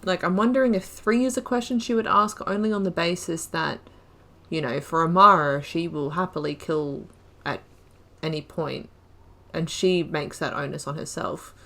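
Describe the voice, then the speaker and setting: Woman YouTuber narrating her playthrough, low voice, meandering and hesitant. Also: steady tone, normal speed, fairly bright tone. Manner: rambling, thinking aloud with fillers. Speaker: gaming YouTuber. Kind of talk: gameplay commentary.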